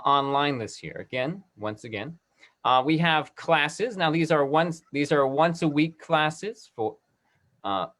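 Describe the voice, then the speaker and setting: A man hosting an online talk-back and making announcements in a halting way, often repeating himself. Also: fairly high pitch, fairly bright tone. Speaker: host.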